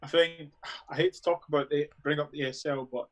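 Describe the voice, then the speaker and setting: A man's voice: on the high side, steady tone, a little fast. A man in his thirties with a London accent, giving blunt, dismissive opinions in a casual panel chat over a video call. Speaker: guest panelist.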